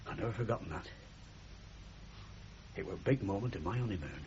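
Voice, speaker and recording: An actor playing a blunt, sentimental middle-aged working-class husband with a Northern English accent in a radio comedy drama. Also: male voice, lowish voice, animated, fairly quick, a little mellow, husky. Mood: wistful, reminiscing. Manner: blunt, emphatic, plain-spoken. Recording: muffled 1970s radio drama recording, noisy.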